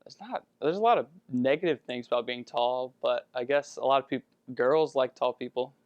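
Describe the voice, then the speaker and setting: A young man answering interview questions in a hesitant, halting, casual way. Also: medium pitch, clear, resonant tone, no room sound, highs cut off. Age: young adult. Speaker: college basketball player.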